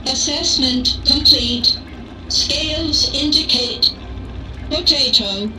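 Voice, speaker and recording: A woman's voice: sits high, slow, pushed and bright, exaggerated voice, low-quality recording. A woman playing a polite, unflappable computer in a radio drama, making formal robotic announcements. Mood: neutral, formal. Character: polite female computer of a vigilante's vault, unflappable.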